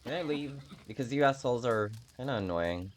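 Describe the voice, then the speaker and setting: A man giving casual game playthrough commentary in a halting, self-deprecating way, swearing freely. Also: medium pitch, expressive. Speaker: game streamer.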